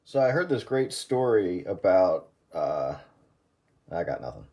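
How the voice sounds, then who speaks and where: A man's voice: sits low, very animated, unhurried, heavy background noise. A man explaining casually, thinking aloud as he recalls details for a fellow radio hobbyist. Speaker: radio hobbyist giving advice.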